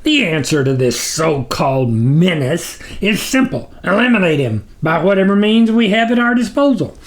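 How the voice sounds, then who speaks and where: A man's voice: high-pitched, very animated, moderate pace, pitched above the performer's own voice. A man voicing a pompous, impatient demon sublord, weary and scornful, in a storybook reading.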